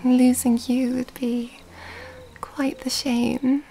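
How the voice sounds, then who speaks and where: A woman playing a seductive, mysterious female vampire in an ASMR roleplay, teasing and intimate in a soft, breathy whisper. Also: high-pitched, little pitch movement, soft background music, full character voice. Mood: playful, doting. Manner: slow, intimate, teasing delivery.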